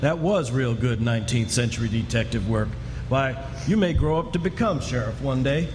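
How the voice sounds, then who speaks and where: A man's voice: mid-range voice, expressive, moderate pace, natural voice. A man playing a proud, jovial frontier father in a live radio play. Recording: echoey hall with faint noise.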